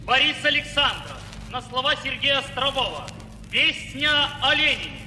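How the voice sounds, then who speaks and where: A man's voice: very high-pitched, sing-song, moderate pace, bright, forceful tone, recorded from a distance, a little hiss or hum. A Russian man announcing a concert in a formal, declamatory way on an archival recording. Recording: roomy archival hall recording from afar.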